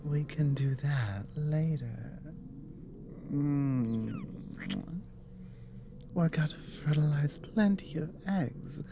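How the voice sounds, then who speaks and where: A woman playing an earnest dragon woman, a careful advisor and lover, speaking softly and hesitantly with long pauses in an intimate ASMR roleplay. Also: low voice, noisy background, exaggerated voice. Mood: tender, flirtatious.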